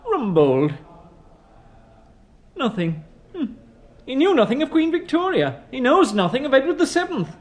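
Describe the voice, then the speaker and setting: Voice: high-pitched, sing-song, a little slow, pauses often. A performer in a radio play as a withering, sarcastic English schoolteacher quizzing pupils in a dry manner. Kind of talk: British radio play acting.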